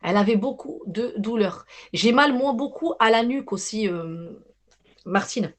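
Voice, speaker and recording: Woman medium with a higher voice. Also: lively intonation, unhurried. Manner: hesitant, repeats herself, reassuring. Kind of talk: live medium reading with callers. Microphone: video call.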